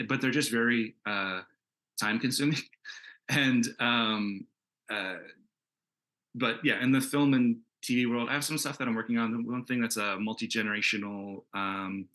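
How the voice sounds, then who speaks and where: A man answering casually as an interview guest, halting as he searches for his words. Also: fairly low pitch, bright, forceful tone. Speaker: comics creator and screenwriter, interview guest. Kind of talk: casual Q&A chat.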